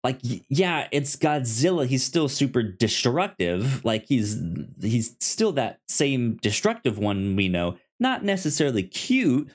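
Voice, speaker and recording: A man co-hosting a podcast, talking casually about films like an enthusiastic fan, with halting, repeated starts. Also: medium pitch, sing-song. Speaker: podcast co-host. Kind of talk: casual movie discussion.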